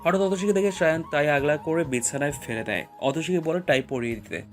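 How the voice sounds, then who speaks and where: A man narrating romantic fiction as a scripted audio story, with expressive, emotional storytelling. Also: a little high, brisk, soft background music.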